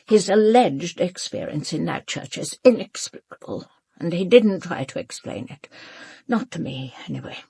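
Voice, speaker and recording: A woman's voice: fairly low pitch, animated, moderate pace, vocal fry, mellow, slightly rough, no echo, full character voice. An actress playing a proud, elderly upper-class British mother in a radio drama, speaking formally and precisely with controlled, cool dismissiveness. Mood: guarded, dismissive. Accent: upper-class British English. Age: older. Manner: formal, clipped, haughty, precise.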